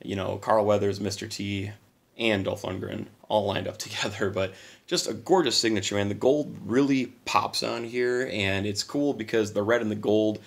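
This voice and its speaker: Hoarse, gravelly-voiced man chatting keenly. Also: fairly low pitch, fairly even intonation, normal speed. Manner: enthusiastic, talking a little quiet. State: hoarse from singing and little sleep. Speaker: channel host. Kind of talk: casual collector vlog.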